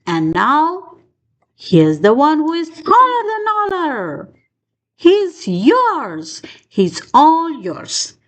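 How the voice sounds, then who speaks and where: A woman reads a children's storybook aloud with a high voice. Her delivery is expressive and sing-song, swooping widely in pitch. She takes the rhymes slowly, giving each phrase room. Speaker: children's book reader.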